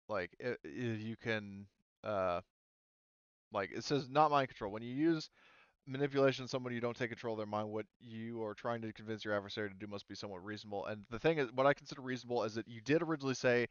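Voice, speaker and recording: Male game master, lowish and clean-voiced, halting tabletop delivery, slightly dull dry recording. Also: a bit bright, clean tone. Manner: halting, thinking aloud. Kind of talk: running a tabletop roleplaying game. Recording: roomy, slightly muffled microphone.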